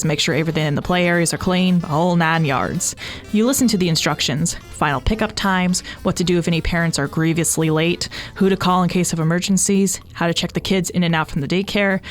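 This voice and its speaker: A woman narrating fan fiction as an expressive scripted audio story. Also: lowish voice. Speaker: podfic narrator. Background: faint music.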